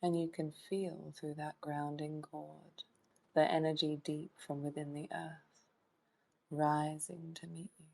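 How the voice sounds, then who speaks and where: A woman leading a guided meditation in a soft, soothing voice that sometimes drops toward a whisper, in short phrases with long pauses. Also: low voice, level tone, creaky. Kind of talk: guided meditation, hypnotic trance induction.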